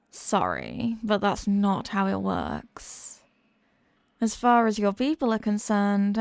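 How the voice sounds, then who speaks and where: Woman acting a slow, haughty demon queen. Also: mid-range voice, a little mellow, no echo, clean studio sound. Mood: cold, disdainful. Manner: condescending, mocking, deliberate and teasing. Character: haughty, sadistic, possessive demon queen toying with a human. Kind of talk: scripted ASMR roleplay addressed to the listener.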